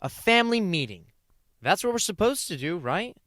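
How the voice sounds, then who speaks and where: A man's voice: sits high, very animated, moderate pace, a bit bright, no echo, clean studio sound. A male actor playing an earnest, sweet young grandson in a radio play. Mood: eager, earnest.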